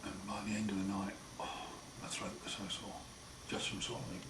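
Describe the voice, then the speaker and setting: A man with a croaky, hoarse voice after throat surgery, talking slowly and wryly in a casual home video diary. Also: on the low side, completely flat delivery. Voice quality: hoarse, partly breathy. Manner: slow, wry, plain-spoken, often pausing. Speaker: patient sharing his recovery. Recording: roomy echo, far mic, noisy.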